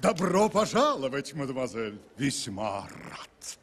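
A man playing a jovial, pompous opera director in an animated film, theatrically courteous, with a husky edge. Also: mid-range voice, a little slow, big-room sound, a little hiss or hum.

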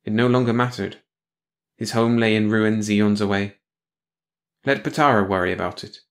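Male audiobook narrator, fairly low pitch, scripted storytelling, bedtime read-along style. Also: steady tone, moderate pace.

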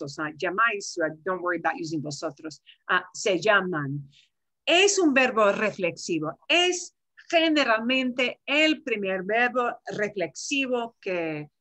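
Older woman, sixties, Spanish teacher, video call, headset mic, bright voice, patient explanations. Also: mid-range voice. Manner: mixes Spanish and English, explains patiently with asides. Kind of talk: online class teaching.

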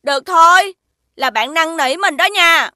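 A woman's voice: very high voice, measured pace, sharp tone, dry recording, studio quality, heavily acted. A woman voicing a cheeky little magical companion with a teasing, perky way of talking.

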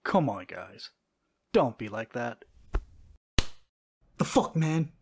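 Guy voicing a cocky chill student dude, really slow talker, lots of up and down. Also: medium pitch, very animated, husky, no echo, heavily acted. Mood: cocky, friendly. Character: cocky, laid-back male student smoothing over trouble. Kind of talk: audio drama acting.

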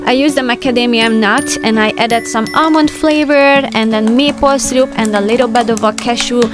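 Woman, middle-aged, fairly high voice, calm explaining tone, homemaking voice-over, soft music underneath. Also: normal speed. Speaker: homemaking vlogger. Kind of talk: voice-over narration of home chores.

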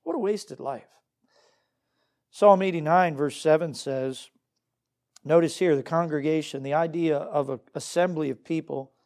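A male pastor delivers a church sermon in a fairly high, mellow voice. He is earnest and exhorting, moving between scripture and direct questions to the congregation. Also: measured pace. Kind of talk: Baptist sermon with scripture reading.

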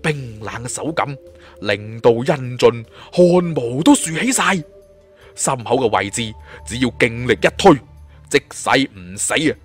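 Male Cantonese audiobook narrator, lively swings in pitch, dramatic delivery over a music bed. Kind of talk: dramatic scripted storytelling. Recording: music under the voice.

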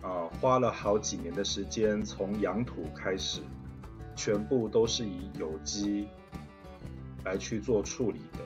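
Casting: middle-aged male vlogger, low-pitched travel voice-over over prominent plucked guitar. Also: measured pace. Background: plucked guitar music.

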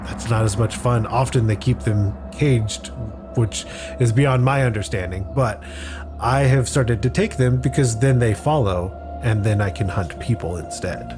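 Male tabletop player, medium pitch, measured and reflective improvised storytelling over a soft music bed. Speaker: guest player on a tabletop podcast.

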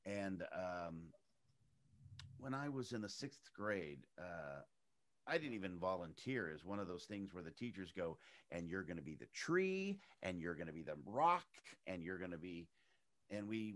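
A middle-aged man with a very low, deep voice, raised in Arizona. He chats casually as an interview guest, telling stories with animation and leaping up in pitch whenever he acts out what other people said. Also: fairly bright tone. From United States, raised in Arizona.

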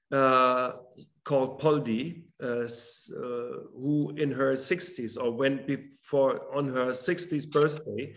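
German man, fifties, slightly high voice, halting with restarts, webcam call. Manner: halting, with many restarts and fillers. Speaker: author guest. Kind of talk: casual interview talk. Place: home room with a large drawing on the wall. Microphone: video call webcam.

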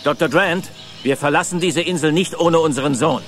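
A man's voice: on the high side, brisk, faint music behind, close to an everyday voice. Flustered, earnest father played by a man. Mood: determined. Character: anxious, evasive, apologetic father searching for his missing son. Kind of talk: German audio drama acting.

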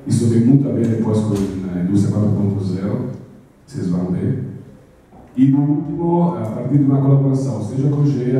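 A man's voice: on the low side, dark tone. A middle-aged man with an Italian accent giving a conference talk on stage, hesitant with many filler sounds. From Italy. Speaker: speaker at a business event. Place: large auditorium with a stage. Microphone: handheld mic, far camera. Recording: echoey hall from far off.

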